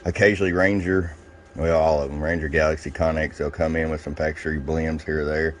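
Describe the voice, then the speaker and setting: A man giving a casual, step-by-step hands-on product review in a plain-spoken, folksy way, pausing between short phrases. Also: very deep voice, little pitch movement. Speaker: radio seller demonstrating a product.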